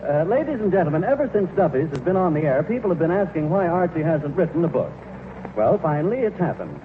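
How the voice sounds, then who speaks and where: A man's voice: on the high side, very animated, fast talker. A man working as a radio announcer, reading scripted copy in a 1940s style. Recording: noisy, tinny 1940s radio broadcast.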